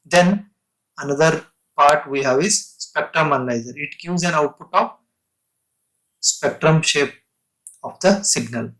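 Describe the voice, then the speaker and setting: A man lecturing on technical material in a halting, explanatory way, breaking sentences into short phrases and restating terms. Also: a little high, fairly bright tone, rough recording.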